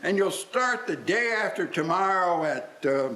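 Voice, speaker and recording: A man's voice: high-pitched, expressive, a little slow, sharp tone, heavily acted, low-quality recording. A man playing a reformed old Victorian businessman in a live radio play, speaking formally and earnestly. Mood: hearty, generous.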